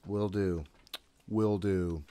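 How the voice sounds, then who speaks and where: A man's voice: low-pitched, steady tone, extremely slow, dark tone, a little hiss or hum. A man in his thirties giving casual, jittery, profane gameplay commentary, often talking to himself. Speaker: gaming host. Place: room at home.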